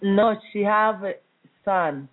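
Congolese woman speaking haltingly over a phone line. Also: mid-range voice. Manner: halting, simple phrasing with frequent pauses. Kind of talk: interview answers.

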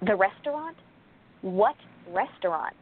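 A woman's voice: medium pitch, expressive, unhurried, slightly rough, narrow, tinny audio. A woman playing an indignant, skeptical young amateur sleuth in a radio play, with a dramatic, exclamatory delivery. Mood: worried, questioning.

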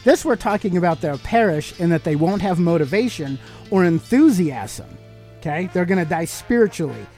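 A man's voice: high voice, animated, moderate pace, a little mellow, faint music behind. A middle-aged American man hosting a podcast, giving an emphatic, preachy motivational talk full of rhetorical questions. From United States. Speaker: podcast host.

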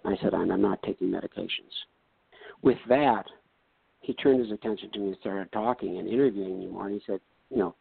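An older man from North Dakota tells his story in a low, husky voice with a gravelly edge. He talks plainly and earnestly and acts out the exchanges he recounts. The sound is thin and phone-like, with no room around it. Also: dry recording. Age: 70s. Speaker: retired doctor. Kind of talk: personal story, casual talk. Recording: tinny phone-like line, dry.

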